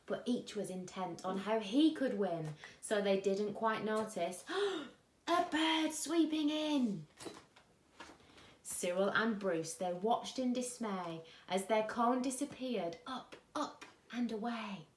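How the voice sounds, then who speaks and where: A woman reading a children's rhyming picture book aloud as a bedtime story, expressively and with dramatic pauses. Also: mid-range voice. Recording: echoey room.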